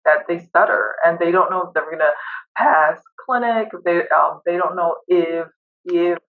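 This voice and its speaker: A woman's voice: on the low side, moderate pace. A woman professor on a panel who stutters, repeating words and sound starts, speaking firmly and directly over a video call. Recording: tinny phone-like line.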